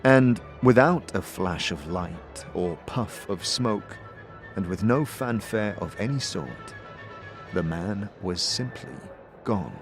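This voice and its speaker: A man's voice: low voice, lively intonation, slow, over music. A man narrating an audio drama and speaking as its lead, with hesitant "uh"s in his lines. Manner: hesitant, with filler "uh"s in dialogue.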